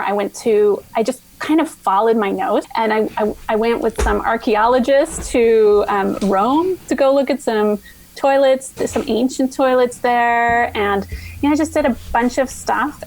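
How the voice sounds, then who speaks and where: A woman's voice: on the high side, a little mellow, poor audio. A middle-aged American woman from Virginia, a science writer telling her story as a podcast guest, thoughtful and prone to restarting sentences. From Virginia, USA. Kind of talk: casual interview answers.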